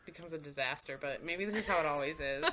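A woman's voice: low-pitched, fast, pushed and bright, low-quality recording. A young woman, a podcast guest who rambles and laughs at herself in casual chat. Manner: rambling, giggly, self-deprecating.

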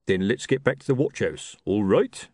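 A man voicing a lazy, blustering middle-aged male watch sergeant who calls out gruffly and bossily. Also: lowish voice, animated, moderate pace, darkish tone, no room sound, studio quality. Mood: bossy.

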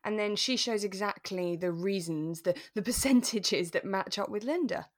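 A woman co-hosting a podcast, talking through a radio drama in a relaxed, thoughtful, explanatory way. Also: mid-range voice, normal speed. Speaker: podcast co-host.

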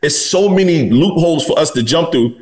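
A man's voice: a little high, lively intonation, a little fast, a little mellow. A man in his forties hosting a podcast, talking bluntly and candidly in casual conversation and swearing freely. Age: 40s. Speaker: podcast host.

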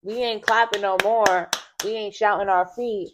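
An animated woman talking about faith with a loud, projected voice and a middle pitch. She speaks emphatically and often says "like", in a casual conversational way. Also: normal speed, noisy background. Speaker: co-host discussing faith.

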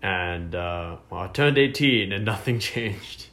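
A man's voice: sits low, animated, takes it slowly, a bit bright. A man in his twenties hosting a casual podcast chat, talking with many fillers and restarts. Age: 20s. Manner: hesitant, lots of fillers and restarts. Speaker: podcast host.

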